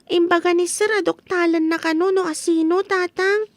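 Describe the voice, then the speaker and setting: A woman's voice: extremely high pitch, steady tone, normal speed, no echo. A woman voicing a plaintive, naive little schoolgirl with an Ilocano accent in a comedy radio drama. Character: whiny, naive little schoolgirl upset about a prank.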